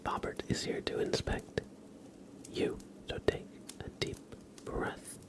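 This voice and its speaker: Male ASMR host, whispered and breathy, slow casual chat to viewers, soft and a little hesitant.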